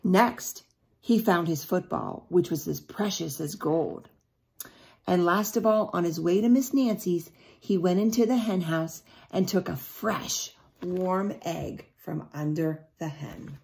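A middle-aged woman reads a storybook aloud in a fairly low voice. She tells it deliberately, as a teacher would to young children, and breaks off to put questions to her listeners. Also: creaky, darkish tone. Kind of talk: picture-book read-aloud with asides to children. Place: small indoor room at home.

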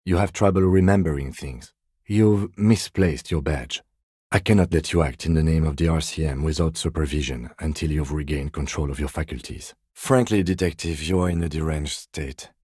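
An actor playing a composed, dry-witted middle-aged police detective in a video game, speaking in a measured, formal, deadpan way. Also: male voice, extremely low pitch, slightly rough, no room sound. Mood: stern, formal. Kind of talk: video game character dialogue.

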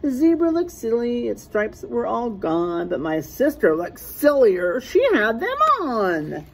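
A middle-aged woman reading a rhyming picture book aloud like a teacher to young children, sing-song and deliberate, stressing rhymes and letters. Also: a little high, big pitch swings, vocal fry, a little mellow, slight background noise. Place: small classroom, indoors.

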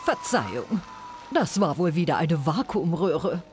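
An actress playing a prim, sweet, fussy elderly saleswoman with a husky voice in a video game. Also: deep voice, sing-song, measured pace, loud music behind the voice. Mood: fussy, genteel. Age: older.

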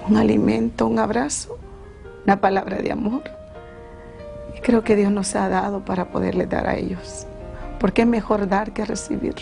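A woman's voice: medium pitch, fairly even intonation, moderate pace, gravelly, pauses often, warm tone, soft background music. A middle-aged woman, giving a personal testimony in an interview. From Sonsonate, El Salvador. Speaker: interviewee, immigrant charity organizer.

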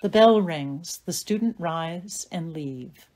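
A woman's voice: on the low side, expressive, slow pace, a little mellow. A woman giving scripted narration, reading out stage directions for an audio play.

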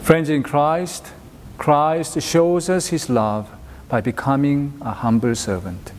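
A middle-aged man leading church worship as minister, reading prayers and scripture in a solemn, measured, formal way. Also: on the high side, fairly even intonation, slight background noise. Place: inside a church, at a pulpit. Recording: long echoing hall reverb.

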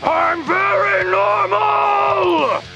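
Man doing a shrill, shouting macho cartoon blowhard. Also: drawn-out words, gravelly, highs cut off, loud music behind the voice, over a noisy crowd, heavily acted. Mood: frantic, defensive. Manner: shouting, escalating.

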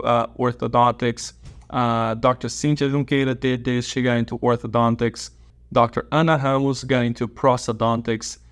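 Brazilian man explaining with hand gestures. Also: mid-range voice. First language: Portuguese. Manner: explains with open hand gestures, repeats key points. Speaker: dentist and educator. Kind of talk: advice talk to camera. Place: small office, seated at a desk. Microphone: lapel mic.

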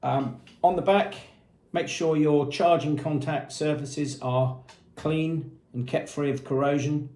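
A man in his fifties giving a matter-of-fact product demonstration, explaining features with hesitant pauses. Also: fairly high pitch, steady tone, warm tone. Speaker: product presenter for a marine shop. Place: small indoor room with painted block walls. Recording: echoey small room.

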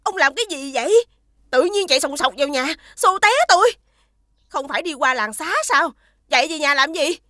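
A woman voicing a blunt, nagging poor wife in an animated fairy tale. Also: sits high, normal speed, no echo, clean studio sound. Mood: scolding.

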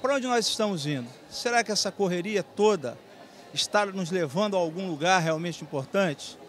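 A man's voice: high-pitched, expressive, moderate pace. An older man in an event interview, reflecting aloud and posing rhetorical questions, into a handheld reporter mic. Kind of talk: vox pop interview.

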